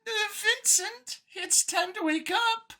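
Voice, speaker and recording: A woman's voice: very high voice, slow, bright, forceful tone, voice pushed up, full character voice. A woman voicing a nervous, earnest female alien mouse scientist in an audiobook, with a flustered, eager way of talking. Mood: soothing. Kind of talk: acted audiobook character.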